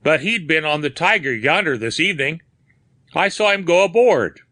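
A man doing a rough waterfront loafer, eager to help, speaking in ungrammatical, clipped street talk. The voice sits well above a plain reading voice and comes out loud and bright, in a dry, close sound. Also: fairly high pitch, lively intonation, moderate pace, dry recording, voice pushed up. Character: male wharf loafer, eager informant.

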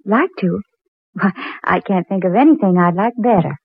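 A woman's voice: mid-range voice, lively intonation, normal speed, breathy edge. An actress playing a devoted, anxious young woman in love, with the earnest, tender melodrama of 1940s radio acting. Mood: affectionate, pleased. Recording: tinny, band-limited 1940s radio recording with music.

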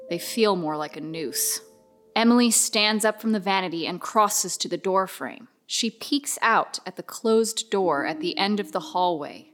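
A woman narrating a horror radio drama as a solemn storyteller, in a measured, dramatic manner. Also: mid-range voice, fairly bright tone, lightly acted. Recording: music bed under narration.

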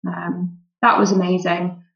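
A woman doctor in a relaxed interview, answering thoughtfully with frequent "um" fillers. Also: on the low side, measured pace, muffled recording. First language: English. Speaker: volunteer doctor, guest.

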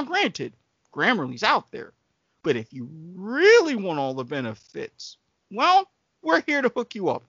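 A man's voice: sits high, pushed and bright, no room sound, muffled recording. A man co-hosting a podcast in casual banter, speaking in an emphatic, wordy, salesman-like way. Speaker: podcast co-host. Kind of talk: casual banter and ad-libbed sponsor read.

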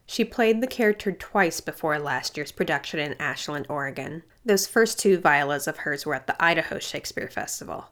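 A woman host reading scripted narration for a radio arts feature in a measured way. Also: sits low, expressive, fairly bright tone.

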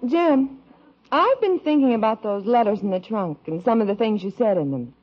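An American actress playing a gentle, sentimental middle-aged wife and mother in a 1950s radio sitcom, on a dull old recording. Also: fairly high pitch, expressive, normal speed. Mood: wistful.

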